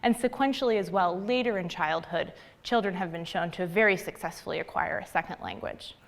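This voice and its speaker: A young adult woman from the United States giving a prepared public talk in an earnest, measured way with deliberate pauses. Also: fairly low pitch. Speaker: graduate student speaker.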